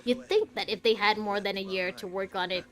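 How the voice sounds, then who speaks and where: A woman's voice: mid-range voice, steady tone, brisk. A woman streamer giving casual commentary on a story game and talking back to its characters.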